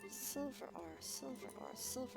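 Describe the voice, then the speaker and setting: A woman streaming a game with casual commentary, thinking aloud in a rambling, hesitant way. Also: slow. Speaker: streamer, vtuber host. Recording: game music playing behind the voice. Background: video game music.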